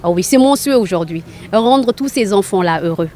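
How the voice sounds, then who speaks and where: A woman's voice: mid-range voice, animated, brisk, mellow. A woman from Arabia speaking spontaneously in an interview as a charity volunteer, earnest and repeating phrases for emphasis. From grew up in Arabia.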